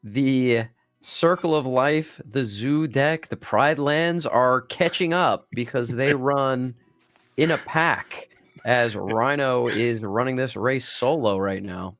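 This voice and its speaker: Gravelly co-commentator man getting over a cough. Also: medium pitch, slow pace, a bit bright, no room sound, soft background music. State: recovering from a bad cough. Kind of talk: card game analysis.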